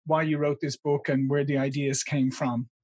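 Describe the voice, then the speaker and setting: A middle-aged man hosting a video call interview, speaking briskly and upbeat. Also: medium pitch, flat delivery, darkish tone, slightly rough. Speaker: interview host. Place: studio with branded backdrop. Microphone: studio mic seen in front.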